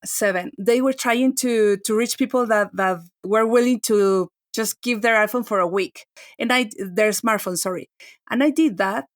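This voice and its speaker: A woman's voice: medium pitch, moderate pace, no echo, studio quality. A woman in her forties, a tech journalist chatting on a podcast, talking loosely with many restarts and "like"s. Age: 40s. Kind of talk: casual podcast chat.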